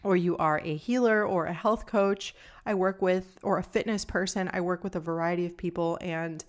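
A young adult woman hosting her own podcast, talking in a calm, somewhat monotone and deeper voice. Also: normal speed. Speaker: podcast host and nutrition coach. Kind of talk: casual solo podcast talk.

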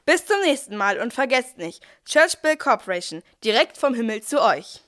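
High-voiced woman playing chatty archangel Gabriel. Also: sing-song, moderate pace, a bit bright, faint music behind. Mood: upbeat, promotional. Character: archangel Gabriel, chatty heavenly messenger and cheeky salesman. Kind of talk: scripted nativity radio play narration.

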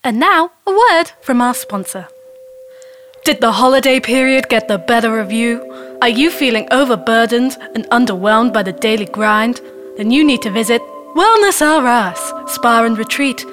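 Lady putting on spa ad voiceover woman, highish, smooth sales patter, scripted. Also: normal speed, fairly bright tone, faint music behind, natural voice. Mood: salesy, upbeat. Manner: polished, promotional. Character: chirpy advert announcer woman for a spa. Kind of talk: scripted advert voice-over.

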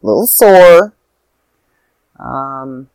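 A young woman vlogging casually from home, rambling and sidetracking, a little stuffy and hoarse with a sore throat and tired. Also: a bass voice, expressive, very slow, a little mellow, dry recording. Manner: rambling, self-interrupting. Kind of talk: casual chat to camera.

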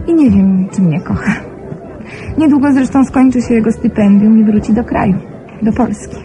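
A woman's voice: a little high, moderate pace, crowd noise, natural voice, poor audio. An actress playing an anxious, sentimental young woman in a radio play. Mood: wistful, curious. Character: anxious, sentimental young Polish woman working in a wealthy household.

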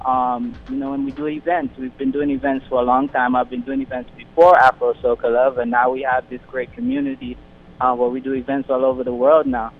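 A man's voice: mid-range voice, big pitch swings, fairly quick, gravelly. A young man who grew up in London and the Caribbean, a radio guest talking over the phone with many fillers and restarts. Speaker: radio guest, community organization founder. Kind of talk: casual interview over the phone. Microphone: phone line. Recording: tinny phone line with faint noise.

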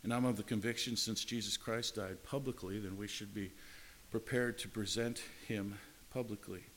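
A man's voice: fairly low pitch, steady tone, normal speed, a bit bright, a little hiss or hum. A man preaching a sermon in an earnest way, often repeating and restarting his words. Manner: earnest, repetitive phrasing with frequent restarts.

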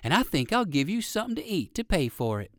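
A man voicing a polite, curious old gentleman rabbit in a children's storybook, expressive and kindly. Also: high-pitched, fast, no room sound, clean studio sound, voice pushed up. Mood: pleased, musing.

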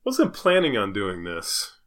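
A man's voice: on the low side, wildly swooping pitch, unhurried, a bit bright. A man co-hosting a casual comedy podcast, bantering in a wry, teasing, jokey manner. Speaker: podcast co-host.

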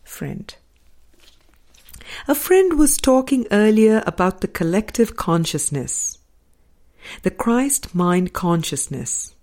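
A woman reading a spiritual audiobook as scripted narration, in deliberate, measured phrases with pauses between them. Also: medium pitch, sing-song, darkish tone. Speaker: audiobook reader.

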